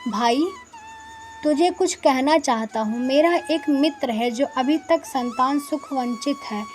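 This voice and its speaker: A scheming village headman acted by a woman speaks in a high voice, persuasive and measured. It is a storybook figure, with music underneath. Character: scheming male village headman, falsely reassuring.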